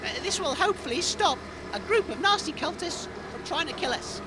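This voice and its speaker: A woman's voice: extremely high pitch, animated, fairly quick, noisy background. A woman playing a polite, chatty and easily fed-up radio interviewer on an adventure in a comedy audio drama. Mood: weary explaining.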